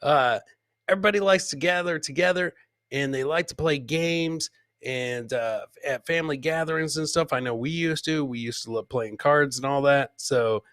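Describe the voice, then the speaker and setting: Man, comedy show host, bright forceful voice, slightly high pitch, joking clip commentary, repeated punchlines, dry close mic. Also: moderate pace, clear, resonant tone, dry recording. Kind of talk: live comedic commentary on video clips.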